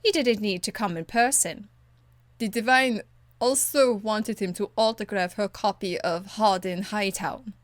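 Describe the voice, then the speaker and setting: A woman's voice: medium pitch, a little slow, dry recording, close to an everyday voice. A woman voicing a blunt, stern and dutiful warrior in a video game, speaking in a curt, earnest, formal way. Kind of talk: video game dialogue acting.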